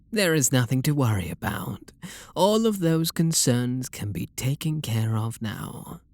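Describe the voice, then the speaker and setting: Breathy, slow British vampire lord, acted by a man. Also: mid-range voice, dry recording, heavily acted. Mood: soothing, wistful. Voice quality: breathy, gravelly. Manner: soft, slow, seductive murmur with breathy whispers. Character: refined, possessive, tender male vampire lord lover. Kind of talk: intimate ASMR audio roleplay.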